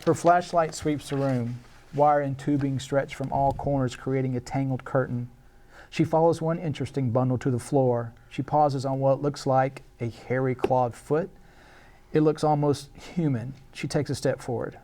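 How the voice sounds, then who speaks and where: A man's voice: mid-range voice, fairly even intonation, normal speed, dark tone. A man reading stage directions as narrator at a live screenplay table read, in a scripted narration style.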